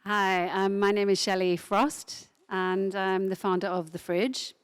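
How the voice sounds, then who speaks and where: A woman, founder of a music venue and a harpist, introducing herself on a panel in a measured way. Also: mid-range voice, level tone, clean tone.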